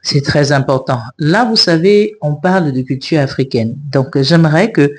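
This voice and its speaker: A man speaks as an interview host. His voice sits a little higher than average for a man. He phrases his questions and prompts in a conversational way. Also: normal speed.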